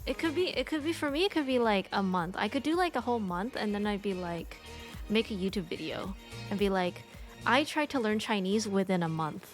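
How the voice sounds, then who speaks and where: A woman's voice: mid-range voice, lively intonation, fairly quick, faint music behind. A woman from the United States chatting casually on a stream, rambling with many fillers and restarts. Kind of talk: casual chat.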